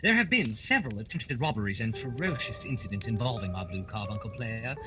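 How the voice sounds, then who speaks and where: A man's voice: fairly low pitch, animated, fairly quick, music in the background, lightly acted. A man playing a shrewd, theatrical detective in a radio play, speaking in a formal, declamatory way. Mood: dry, grand. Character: shrewd, theatrical male detective, dryly explaining.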